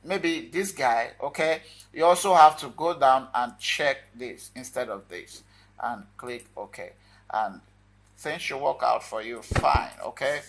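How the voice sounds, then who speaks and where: A man hosting a casual software tutorial, talking viewers through the steps informally in short phrases. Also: medium pitch, a little slow, rough recording. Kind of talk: casual screen-recorded tutorial talk.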